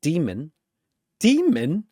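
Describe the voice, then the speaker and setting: A gleeful, menacing cartoon dream demon, acted by a man, pitched high with wild swoops. He taunts and mocks theatrically, in a close, dry sound. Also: wildly swooping pitch, slow pace, mellow, no room sound, full character voice. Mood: mocking glee.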